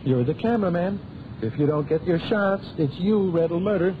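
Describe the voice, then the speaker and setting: A man's voice: sits high, moderate pace, lightly acted. An actor playing a sarcastic American newsreel soundman, a needling, slangy sidekick in vintage radio drama style. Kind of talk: 1930s-40s radio acting. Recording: tinny, band-limited, noisy old radio recording.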